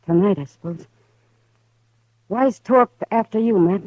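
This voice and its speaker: An actress playing a worried grown female saloon keeper who speaks softly and hesitantly, in a 1950s radio western. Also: mid-range voice, measured pace, dry recording, natural voice. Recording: dull old radio broadcast.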